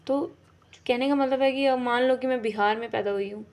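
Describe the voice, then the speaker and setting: A woman with a slightly raised pitch explains an exam topic in a relaxed classroom manner. She circles back, rephrases each point and keeps checking that her listeners follow. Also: fairly quick. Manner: repeats "ठीक है" and rephrases often, with fillers. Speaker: teacher. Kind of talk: exam-prep lesson explaining in Hindi mixed with English.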